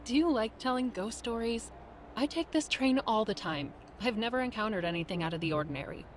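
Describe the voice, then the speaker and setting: A woman's voice: a little high, lively intonation, fairly quick, faint music behind, natural voice. A woman playing a wary, curious young monster hunter in a video game. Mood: skeptical.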